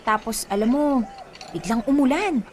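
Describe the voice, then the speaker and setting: An actress playing a guileless, playful young woman in a radio drama, chirpy and expressive. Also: a little high, normal speed, a little hiss or hum, lightly acted. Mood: playful, sweet. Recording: faint music behind.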